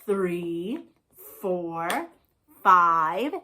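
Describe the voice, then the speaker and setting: A woman's voice: lowish voice, animated, extremely slow, pushed and bright, slight background noise. A woman hosting a storytime for young children, reading aloud and chatting in an encouraging, sing-song way with questions and praise. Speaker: children's storytime host and librarian.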